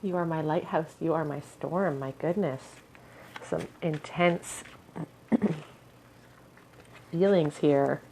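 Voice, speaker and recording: A woman giving a pick-a-card tarot reading in a musing tone, her voice a little hoarse and scratchy, often clearing her throat. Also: low voice, slow pace, pauses often, mellow. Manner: musing, hedges with "I feel". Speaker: tarot reader.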